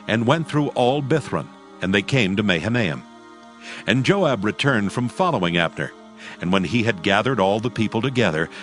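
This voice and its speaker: A man narrating a dramatized scripture audiobook with solemn, measured phrasing. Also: lowish voice, a bit bright.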